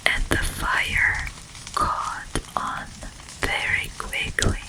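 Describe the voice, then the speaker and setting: An eerie, tormented demon played by a woman whispers a tale in an ASMR roleplay. The voice is breathy and mostly unvoiced, creeping along extremely slowly in short, hushed phrases. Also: poor audio. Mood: troubled, eerie. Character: eerie sleep paralysis demon, once a tormented monk. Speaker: ASMR performer.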